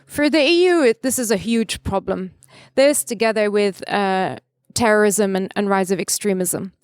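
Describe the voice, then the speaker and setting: A woman's voice: on the high side, clean tone, no echo, studio quality. A woman from Palestine, speaking as a panelist and arguing her points with passion and frequent restarts. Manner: impassioned, argumentative, with frequent restarts. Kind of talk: conference panel answer. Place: conference stage.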